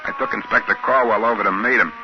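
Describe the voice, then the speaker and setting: An actor playing a folksy, easygoing space trader who narrates in a 1950s radio drama, with an American accent and colloquial, ungrammatical speech. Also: male voice, on the low side, steady tone, fast talker, music in the background, close to an everyday voice. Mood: matter-of-fact. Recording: hissy, muffled 1950s radio recording.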